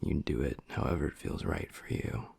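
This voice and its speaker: A man voicing a gruff but tender young werewolf boyfriend in an intimate audio roleplay, husky and breathy, speaking low and haltingly. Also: a little mellow, no room sound, lightly acted. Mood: reassuring. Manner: low, halting, half-whispered delivery.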